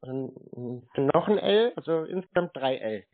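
A man chatting casually on the phone as a prank caller, with a deadpan, naive-sounding manner. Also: fairly high pitch, a little slow, no echo. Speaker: host, scam-baiting prank caller. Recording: noisy narrow phone-like sound with clicks.

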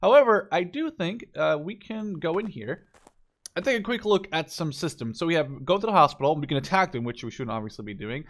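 A man's voice: fairly high pitch, lively intonation, brisk, fairly bright tone. A man giving casual, unscripted commentary on a game as he plays, informally thinking aloud. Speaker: gameplay video host.

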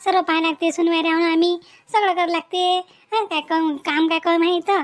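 Cartoon village wife, female performer, very high pitch, dutiful and talkative, Varhadi Marathi accent. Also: steady tone, brisk. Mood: cheerful, chatty. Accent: Maharashtrian, Varhadi Marathi. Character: cheerful dutiful young married village woman on the phone. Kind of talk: cartoon family drama dialogue.

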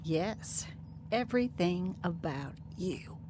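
A woman's voice: fairly low pitch, lively intonation, slow pace, over loud music. An actress playing an abusive, self-righteous mother in a radio drama, snapping with cold scorn. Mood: contemptuous.

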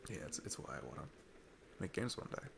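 A man's voice: rumbling low, very animated, fairly quick, vocal fry, noisy background, full character voice. A man playing a sweet, earnest, geeky single dad on a date, rambling and hesitant in an intimate ASMR roleplay. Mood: excited, earnest.

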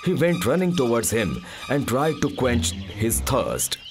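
A man's voice: mid-range voice, big pitch swings, a little slow, over music. A man narrating a mythological story in a scripted storytelling style.

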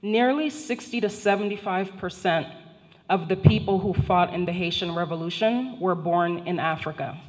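Measured low-voiced woman lecturing in a reverberant hall. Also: darkish tone. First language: not English. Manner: formal, measured, addresses the audience directly. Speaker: guest speaker, professor. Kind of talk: prepared public lecture. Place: large indoor function hall, seated at a panel table. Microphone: handheld microphone.

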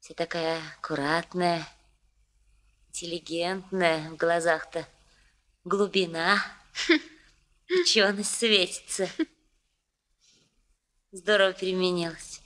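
An actress playing a warm, excitable young working woman in a Soviet radio drama, chatting in a colloquial, gushing way. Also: fairly low pitch, slow, long pauses. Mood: frank, chatty.

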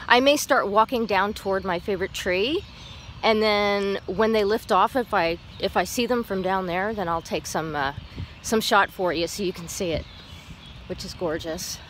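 A woman's voice: medium pitch, a little slow, fairly bright tone, a little hiss or hum. A middle-aged woman chatting cheerfully on a live walking vlog, laughing often, recorded outdoors on a phone held at arm's length. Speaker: vlogger. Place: outdoors on a path in a park.

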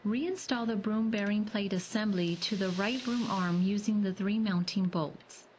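A woman reading scripted step-by-step repair instructions as a voice-over, in a measured, even way. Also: mid-range voice, slight background noise. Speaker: instructional narrator.

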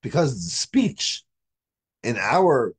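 Hoarse, husky man teaching a class. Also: mid-range voice, big pitch swings. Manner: halting, repeats phrases for emphasis. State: hoarse, recovering from a lost voice. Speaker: religious teacher. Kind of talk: informal religious class, explaining step by step. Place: indoors, home study with bookshelves. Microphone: video call microphone.

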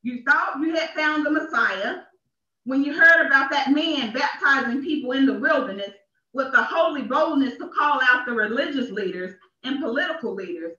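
High, bright woman preaching in echoey church. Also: lively intonation, normal speed, distant mic. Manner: emphatic, repeats phrases for effect. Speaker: minister. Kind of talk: sermon read with deliberate emphasis. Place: small church sanctuary. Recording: echoey, dull church livestream from off.

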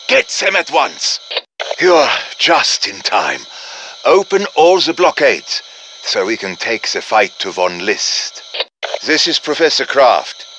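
An actor playing an urgent, commanding professor who briefs soldiers in a deliberate, dramatic manner, as video game voice lines. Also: male voice, medium pitch, pushed and bright, slight background noise, exaggerated voice, low-quality recording. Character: urgent, commanding male professor guiding soldiers against demons. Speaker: game character voice, mission guide.

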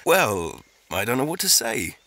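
A sardonic young Englishman in an audio drama, with an English accent and a lively, expressive pitch. His talk is charming and wry. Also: unhurried.

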